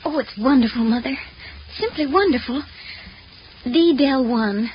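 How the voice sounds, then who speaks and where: A woman's voice: sits high, measured pace. A woman acting as an eager, slightly shy teenage schoolgirl in a 1940s radio drama, with a girlish manner. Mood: eager, excited. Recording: dull, noisy 1940 radio broadcast.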